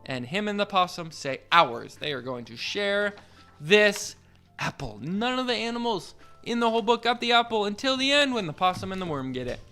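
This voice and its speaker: Animated man reading picture books aloud, bright voice. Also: high-pitched, clean tone, soft background music. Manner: playful, expressive, drawn-out delivery. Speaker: host of children's read-along show.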